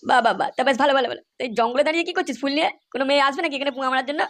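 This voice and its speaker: A man's voice: very high-pitched, racing, a bit bright. A man voicing a cocky, lecherous young man in a crude comedy cartoon, slangy and full of swearing. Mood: boastful.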